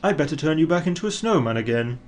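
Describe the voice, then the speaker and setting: A man's voice: a little high, animated, fast, voice pushed up, natural voice. A man voicing a kindly old Father Christmas from a children's storybook, fretful but hearty. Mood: grateful.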